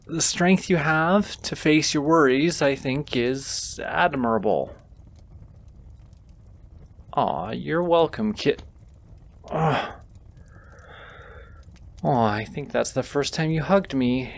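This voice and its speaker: A man playing a gentle, easily flustered wizard in a comforting fantasy audio roleplay, tender, soft-spoken and intimate. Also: medium pitch. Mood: tender, encouraging. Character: gentle, flustered male wizard comforting a companion he secretly adores.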